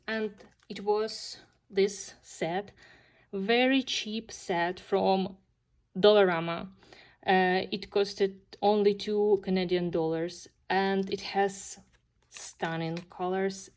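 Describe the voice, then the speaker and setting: Slow-talking woman with a Ukrainian accent. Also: lowish voice. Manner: hesitant, many fillers, gentle and enthusiastic. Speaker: coloring channel host. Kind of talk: casual show-and-tell vlog.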